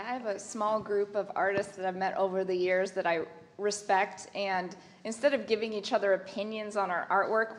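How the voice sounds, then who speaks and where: Chatty woman on an art panel. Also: mid-range voice. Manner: chatty, rambling with restarts. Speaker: panelist artist. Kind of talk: casual panel discussion.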